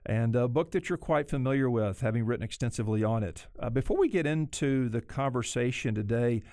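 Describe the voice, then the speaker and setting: A man hosting a podcast interview in a measured, formal way. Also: mid-range voice, expressive, darkish tone, no room sound, studio quality. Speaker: podcast host.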